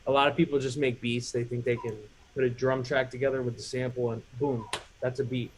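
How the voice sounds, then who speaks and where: A man's voice: medium pitch, little pitch movement, brisk, a little hiss or hum, rough recording. A man hosting a casual live chat, coaching a guest on music production with lots of fillers. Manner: mentoring, gives advice, many fillers. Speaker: host.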